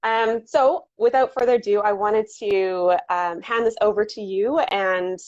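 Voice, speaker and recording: A woman's voice: medium pitch, steady tone, measured pace, darkish tone, dry recording. Chatty woman host, dull dry livestream sound. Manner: chatty, upbeat, many fillers and restarts. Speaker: interview host. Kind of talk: casual conversational interview.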